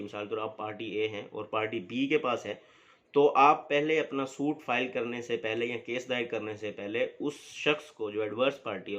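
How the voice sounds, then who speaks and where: A man giving a law lecture as an advocate, explaining step by step with many fillers and reading legal text aloud slowly. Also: medium pitch, a bit bright, muffled recording.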